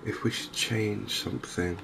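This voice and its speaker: An English man hosts a cozy ASMR gaming video in a soft, low voice. He speaks very slowly and evenly, with a creaky edge. Ambient noise is clearly heard behind him. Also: steady tone. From England. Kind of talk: soft-spoken ASMR game commentary.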